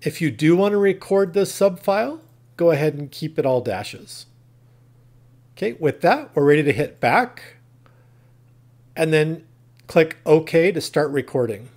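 Slightly higher-voiced man narrating a tutorial. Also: animated, a little slow, pauses often, a little mellow. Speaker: tutorial host. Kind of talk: instructional screen-recording narration, step by step.

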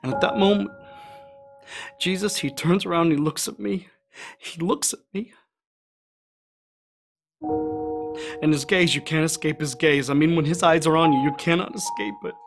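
Man acting a higher-voiced guilt-ridden fisherman disciple. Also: gravelly, over music. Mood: anguished. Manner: halting, conversational, everyman delivery. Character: anguished, guilt-ridden fisherman disciple recounting his denial. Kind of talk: dramatic first-person monologue.

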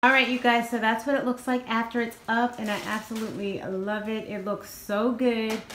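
A woman's voice: fairly high pitch, flat delivery, moderate pace, a bit bright, slight background noise. A woman, chatting upbeat to her viewers while she films on a phone at arm's length in her house and car. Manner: chatty and upbeat, addresses viewers as "you guys". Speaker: vlogger. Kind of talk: casual home vlog chat. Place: house rooms and a parked car. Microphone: handheld phone camera at arm's length.

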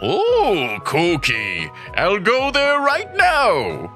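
A man's voice: high-pitched, huge pitch swings, bright, forceful tone, full character voice. A man playing an eccentric, playful old hermit master who speaks like a sage in an English-dubbed manga cartoon. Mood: cheerful. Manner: sagely, slow, playful. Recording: music behind the voice.

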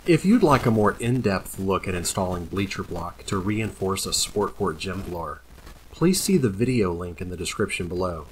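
Friendly middle-aged man, low voice. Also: moderate pace, slight background noise, rough recording. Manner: friendly, steady, instructive. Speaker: flooring company owner. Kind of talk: on-camera explainer and voice-over narration. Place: large indoor school gym.

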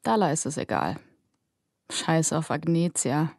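A woman narrates a fantasy audiobook in a calm, measured way. Her voice sits a little low and sounds close and dry. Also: slightly rough, no room sound.

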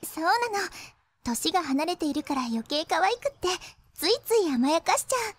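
A woman's voice: very high voice, big pitch swings, moderate pace. A woman voicing a cute, perky teenage magical-girl princess in an anime, sweet on the surface and secretly ruthless. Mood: doting. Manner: cutesy, perky, girlish. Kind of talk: anime voice acting.

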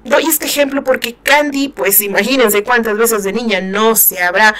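A woman's voice: a little high, normal speed, a bit bright. A middle-aged woman, chatting casually about a novel she reads aloud, laughing often and using many fillers. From Mexico. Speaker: book reviewer. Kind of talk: casual chat, reading and commenting on a novel.

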